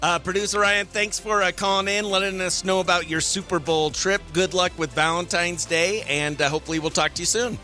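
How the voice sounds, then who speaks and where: A man's voice: sits high, steady tone, a little fast, few pauses, sharp tone, faint music behind. A man hosting a radio morning show in a casual, chatty, jokey way. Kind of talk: casual phone-in chat and show patter.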